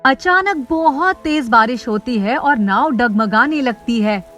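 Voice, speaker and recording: A woman's voice: on the high side, animated, unhurried, a bit bright, faint music behind. A woman reading a scripted children's story as its narrator.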